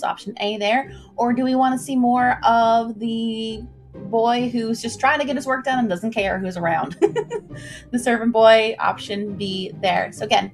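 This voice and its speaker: A woman who tells stories and hosts a livestream, speaking in an informal, expressive way straight to her listeners. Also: fairly high pitch. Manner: chatty, informal, addresses listeners directly. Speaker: storyteller and livestream host. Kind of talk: expressive story reading and casual livestream chat.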